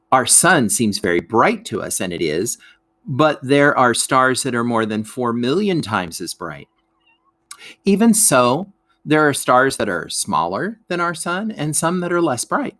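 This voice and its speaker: A man's voice: mid-range voice, fairly bright tone, dry recording. A man reading a scripted educational lesson aloud for children, explaining things expressively and speaking directly to his young listeners.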